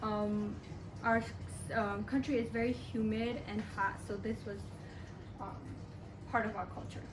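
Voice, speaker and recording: A young woman from Burma giving a class presentation in a halting way, often pausing and restarting. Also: medium pitch, steady tone. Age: young adult. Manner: halting, with frequent pauses and restarts. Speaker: college student presenter. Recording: echoey room, distant mic, background noise.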